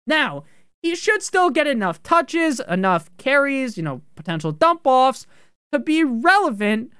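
A man hosting a fantasy football podcast, talking casually with profane, joking asides and sudden jumps in pitch on key words. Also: fairly bright tone, clean tone, no echo, studio quality.

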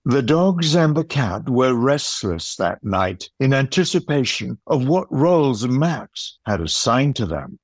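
Slow, expressive male storyteller. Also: medium pitch, no echo, clean studio sound. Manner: measured, storytelling. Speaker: narrator. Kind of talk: scripted narration of an audio play.

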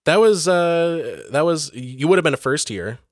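A young man hosting a podcast, chatting casually in a calm, self-deprecating way. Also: fairly high pitch, normal speed, dry recording. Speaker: podcast host. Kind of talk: casual chat.

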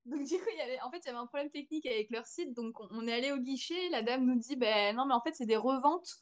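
A woman's voice: high-pitched, quick pace. A young French woman, a podcast guest, telling a long anecdote in casual chat with many fillers and restarts. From France. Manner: chatty storytelling with many fillers.

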